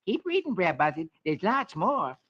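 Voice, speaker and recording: A man's voice: fairly high pitch, wildly swooping pitch, moderate pace, pitched above the performer's own voice. A man doing a sly rabbit trickster. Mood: smug. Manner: smug, teasing. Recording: dull old radio recording with faint hiss.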